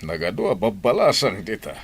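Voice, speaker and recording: A man's voice: lowish voice, sing-song, normal speed, husky, exaggerated voice. A man with an Ilocano Filipino accent playing a stern village father in a radio drama. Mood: stern, probing. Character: stern village father questioning his grown son.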